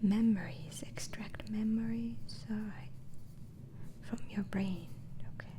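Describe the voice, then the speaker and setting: A woman whispering in an ASMR roleplay as a doctor interviewing a patient, hesitantly asking questions and repeating answers back in a breathy voice. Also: medium pitch, slow, background noise. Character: gentle, inquisitive female mental asylum doctor.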